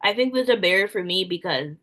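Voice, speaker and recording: A young woman, an event planner, answering interview questions in relaxed casual talk, with lots of "like" fillers. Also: mid-range voice, fast talker. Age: young adult. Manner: soft-spoken, frequent "like" fillers.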